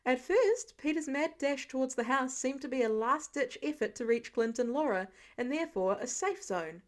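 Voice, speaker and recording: A woman reading fiction aloud as an expressive, scripted story narration. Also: high voice, normal speed. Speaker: audiobook-style fan fiction reader.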